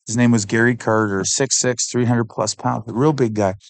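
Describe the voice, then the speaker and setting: A man tells his faith story with earnest, heartfelt conviction. He speaks at an ordinary pitch for a man and often pauses to find his words. The recording is dry and close, with almost no room sound. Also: fairly even intonation, gravelly, dry recording. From Canada. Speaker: podcast guest, missionary. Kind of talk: personal faith testimony.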